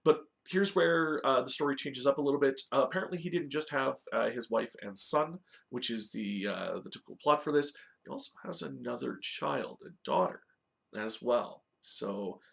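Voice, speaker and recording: A man with a mid-pitched, creaky voice hosts a review in a casual, informal style. He talks conversationally, as if chatting to regular viewers, with a noticeable gravelly fry in his delivery. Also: fairly bright tone. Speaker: host of a video game movie review show. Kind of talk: casual review talk with story recap.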